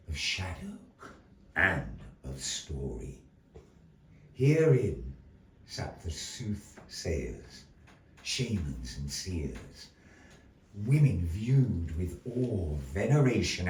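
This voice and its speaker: Echoey, low-voiced man telling a dramatic tale. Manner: dramatic, declamatory, with weighty pauses. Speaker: storyteller, puppet show narrator. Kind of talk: theatrical live storytelling narration. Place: indoor gallery performance space. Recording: echoey gallery room, distant mic.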